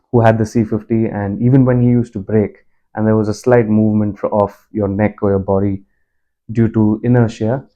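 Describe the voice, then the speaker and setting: Male reviewer, low-pitched with a very soft, relaxed tone, conversational and explanatory. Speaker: product reviewer and motorbike commuter. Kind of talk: casual spoken product review.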